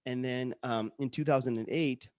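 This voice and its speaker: A man's voice: mid-range voice, steady tone, moderate pace, darkish tone, no echo. Earnest man host, dry close sound. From Utah, born in India. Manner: earnest, enthusiastic storyteller with frequent "like" and restarts. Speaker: podcast host. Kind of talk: casual conversational interview.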